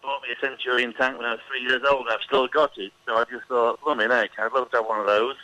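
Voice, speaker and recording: A man's voice: medium pitch, flat delivery, quick pace, bright, forceful tone, no room sound, muffled recording. A middle-aged man being interviewed on the radio, chatty and hesitant, with many ums. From England. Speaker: interview guest.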